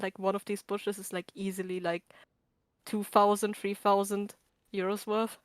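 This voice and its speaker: A woman's voice: medium pitch, clear, resonant tone, dry recording. Woman chatting casually over a game stream. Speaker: co-commentator on a game livestream. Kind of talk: casual game commentary chat.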